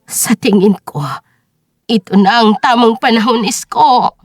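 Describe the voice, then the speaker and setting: A woman's voice: fairly high pitch, measured pace, dry recording. A woman voicing a frail, sickly old mother in an audio drama, speaking weakly and soothingly. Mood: soothing, solemn. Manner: weak, soothing.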